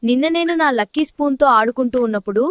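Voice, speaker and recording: Woman as high-pitched, fast-talking mischievous little boy. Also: expressive, a little hiss or hum. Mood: earnest, boastful. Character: Chintu, mischievous eight-year-old boy spinning tall tales. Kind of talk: children's comedy cartoon acting.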